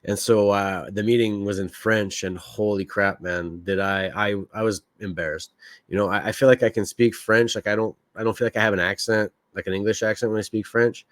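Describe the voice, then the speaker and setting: A man's voice: lowish voice, fairly even intonation, brisk, dry recording. A man from Quebec hosting a podcast, talking candidly and rambling with fillers. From Quebec, Canada. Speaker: podcast host. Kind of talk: casual solo podcast talk.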